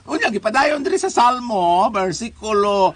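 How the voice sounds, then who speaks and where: A man's voice: high voice, animated, moderate pace, no room sound. A man with a Cebuano Filipino accent giving his views in a casual panel discussion, as a co-host of a talk show.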